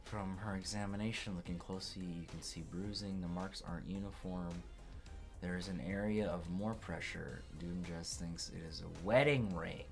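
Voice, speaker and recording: A man with a very low voice reads through a game for a playthrough. His delivery turns theatrical for dialogue and halting for his own asides, with music playing softly behind him. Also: steady tone, gravelly. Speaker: let's player reading game dialogue aloud. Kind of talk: voiced playthrough commentary, reading aloud.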